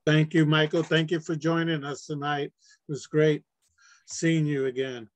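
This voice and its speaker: A man's voice: on the high side, little pitch movement, moderate pace, no room sound. A man hosting a group meeting on a video call, introducing members one by one in an informal way. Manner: informal, with fillers. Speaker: meeting host. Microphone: computer mic on a video call.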